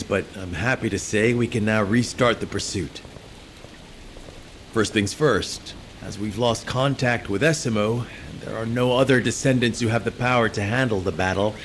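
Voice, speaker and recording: An actor playing an earnest military field officer in a game, briefing in a businesslike, matter-of-fact way. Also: male voice, fairly low pitch, slight background noise, lightly acted. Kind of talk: scripted game dialogue.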